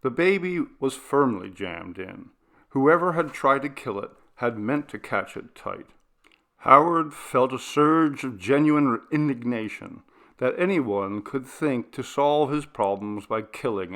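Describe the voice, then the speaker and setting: Male audiobook narrator for horror fiction, bright forceful tone with gravelly creak, expressive and deliberate. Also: mid-range voice. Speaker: narrator reading a horror short story.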